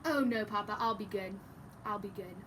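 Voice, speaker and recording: A woman's voice: mid-range voice, normal speed, pitched below the performer's own voice, natural voice. A woman voicing an eager, insistent little chicken child in a storybook, with some background noise. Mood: promising.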